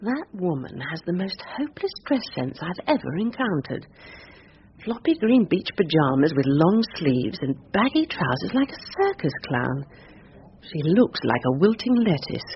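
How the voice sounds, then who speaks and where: A woman's voice: lowish voice, big pitch swings, normal speed. An actress playing a breezy, catty English society woman with an arch, wry manner in a radio drama. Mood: scornful. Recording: muffled radio broadcast, faint noise.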